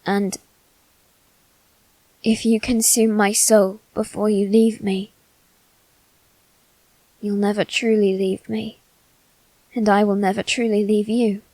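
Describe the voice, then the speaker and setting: A woman playing a wounded, possessive teenage boy aristocrat in an ASMR roleplay, speaking haltingly with long pauses. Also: medium pitch, flat delivery, a little mellow, no echo. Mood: solemn, devoted. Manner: slow, halting, measured delivery with long pauses. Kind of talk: ASMR character roleplay, scripted drama.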